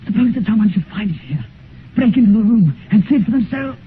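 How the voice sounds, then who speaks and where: An actor playing a vain, corrupt, ageless English gentleman in a theatrical, taunting manner in a 1950s radio drama. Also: sits high, expressive, quick pace. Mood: anguished. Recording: noisy, muffled 1950s radio recording.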